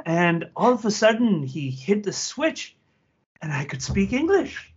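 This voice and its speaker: A man's voice: high-pitched, sing-song, slow pace, slightly rough. A man on an online panel telling a self-mocking anecdote in a casual, wry way. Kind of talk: casual anecdote on a video call. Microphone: video call. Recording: muffled video-call audio.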